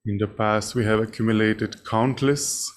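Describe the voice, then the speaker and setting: A man leading a guided meditation as a teacher, speaking in short, measured phrases with long pauses between them. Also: on the low side, steady tone, noisy background. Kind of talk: guided meditation, spoken instruction.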